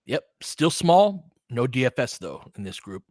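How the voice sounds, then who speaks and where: Male basketball commentator, mid pitch, dry close sound; clipped informal calls with a fan's slant. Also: very animated, measured pace, darkish tone, no room sound. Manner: clipped short phrases, informal slang, partisan fan reactions. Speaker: play-by-play commentator. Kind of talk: casual live basketball play-by-play commentary with analysis.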